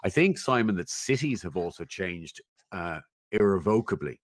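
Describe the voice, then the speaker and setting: Guy with a low deep voice, thoughtful interview answers with pauses, really dry sound. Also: lively intonation, no room sound. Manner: thoughtful, measured, halting with pauses. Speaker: interview guest, technology commentator. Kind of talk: conversational interview, giving considered opinions.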